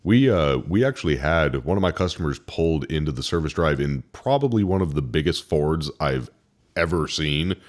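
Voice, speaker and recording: Low-voiced, lively man telling stories. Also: normal speed. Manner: animated storyteller, swears casually. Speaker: podcast co-host, service advisor. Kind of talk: casual chat.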